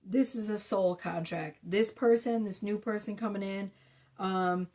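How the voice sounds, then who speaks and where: A woman giving a casual tarot reading to her viewers, blunt and profane in her chatty way, talking through a sore, slightly hoarse throat. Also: medium pitch, fairly even intonation, a little slow, warm tone. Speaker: tarot reader.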